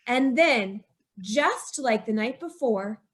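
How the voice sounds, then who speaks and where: A woman narrates a radio horror tale in the first person, her voice high. She sounds tense and haunted, and she climbs toward a frantic edge. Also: lively intonation, slow pace, very noisy. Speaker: narrator and lead character. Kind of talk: old-time radio drama narration.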